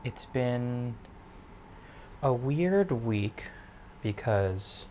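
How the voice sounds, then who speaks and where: Hoarse young man, low and relaxed. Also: a little hiss or hum. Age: 20s. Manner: relaxed, meandering, many fillers. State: losing his voice. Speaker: podcast host. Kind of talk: casual solo podcast monologue. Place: lying in bed at home.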